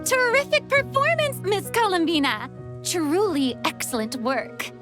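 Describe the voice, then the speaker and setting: A voice actress playing an exuberant, theatrical young woman film director in an animated game, commanding and encouraging. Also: extremely high pitch, a little slow, full character voice. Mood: pleased, encouraging. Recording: music behind the voice.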